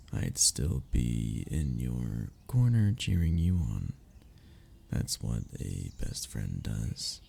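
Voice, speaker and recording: A man acting a young best friend in an intimate audio roleplay, with a very gravelly, low voice. He is affectionate and playful, teasing gently in a soft, earnest way, and his pitch moves around in a lively manner. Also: slight background noise, heavily acted. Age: young adult.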